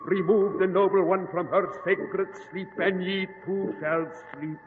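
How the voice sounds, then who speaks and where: Ominous unseen tomb guardian, solemn archaic warnings, fairly high male pitch, muffled vintage radio sound. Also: fairly even intonation. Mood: ominous, commanding. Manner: slow, solemn, archaic pronouncements. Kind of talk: old-time radio drama acting. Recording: muffled reverberant noisy old radio recording.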